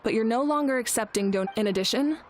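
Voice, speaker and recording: A woman's voice: fairly high pitch, a little hiss or hum, close to an everyday voice. Woman as a composed, solemn female chief. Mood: commanding. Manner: measured, grave, sighing. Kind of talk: video game voice acting.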